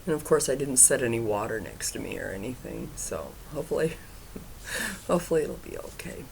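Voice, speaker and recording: Low, gravelly woman with a froggy cough. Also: expressive, a little fast, a little mellow, slight background noise. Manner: hesitant, many ums, trailing off to near whispers. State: raspy cough, froggy throat. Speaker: cross-stitch vlogger. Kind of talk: casual chat to viewers.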